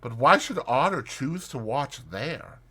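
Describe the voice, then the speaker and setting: A man's voice: mid-range voice, animated, a little slow, sharp tone, pitched above the performer's own voice. A man voicing an easygoing male mole in a dramatized storybook, talking lightly and reassuringly. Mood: puzzled. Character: easygoing, unworried male mole, kindly animal friend. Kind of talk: dramatized storybook character reading.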